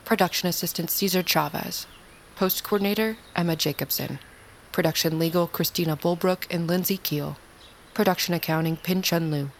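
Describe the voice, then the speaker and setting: Low-pitched woman reading scripted credits. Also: normal speed, gravelly, slight background noise. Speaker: credits announcer.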